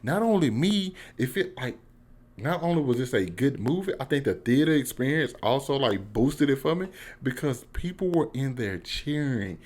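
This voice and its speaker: Casting: American male vlogger, mid-pitched, informal and excitable, slang-heavy, off-the-cuff review delivery. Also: normal speed. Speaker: YouTube movie reviewer. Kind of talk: casual one-take vlog review.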